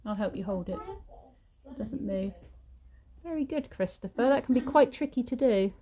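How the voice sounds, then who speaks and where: A woman's voice: fairly high pitch, lively intonation, moderate pace, mellow, slight background noise. A young British woman vlogging at home, chatty and rambling with many ums, sounding throaty and congested from a sore throat. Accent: British English. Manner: chatty and rambling, many ums, sing-song praise when talking to children. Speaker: vlogger and childminder. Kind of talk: casual vlog chat to camera. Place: at home, indoors.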